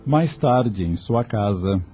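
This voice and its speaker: Brazilian male narrator, scripted story read, fairly low pitch, dull recording. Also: lively intonation, slow pace. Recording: muffled lo-fi audio. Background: music.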